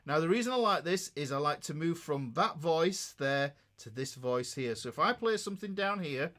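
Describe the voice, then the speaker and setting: High-voiced man teaching guitar. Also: lively intonation, normal speed, a bit bright. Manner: conversational, checks in with listeners. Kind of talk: informal instructional talk with demonstrations.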